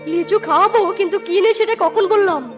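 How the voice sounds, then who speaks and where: A woman voicing an excitable, bossy little animal in a children's cartoon, exclamatory and dramatic, with music behind. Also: very high voice, normal speed. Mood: concerned, friendly.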